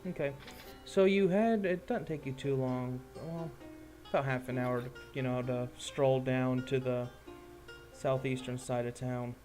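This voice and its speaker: A man's voice: mid-range voice, fairly even intonation, unhurried, darkish tone, faint music behind. A man improvising narration as game master of a tabletop game, in a casual, meandering way.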